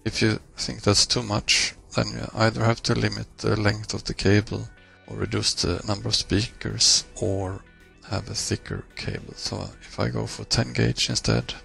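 Male tutorial presenter, husky and fairly flat in intonation, measured explanatory delivery. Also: fairly low pitch, faint music behind. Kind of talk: screencast software walkthrough, explanatory.

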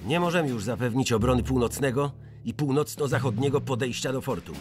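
An actor playing a beleaguered fortress major, addressing his officers formally and gravely in an audiobook. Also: mid-range voice, a little fast, over music, close to an everyday voice. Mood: grave, resigned.

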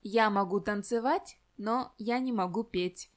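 A woman reads short model answers for learners, slowly and deliberately. Each reply is plain, careful and scripted. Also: mid-range voice. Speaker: model answerer in language lesson. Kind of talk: slow scripted example answers.

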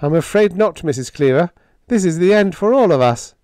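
A man voicing an earnest, excitable young male spaceship lieutenant with a formal, emphatic delivery in a pulp science fiction story. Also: high-pitched, fairly quick, no echo, studio quality, voice pushed up. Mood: sorrowful.

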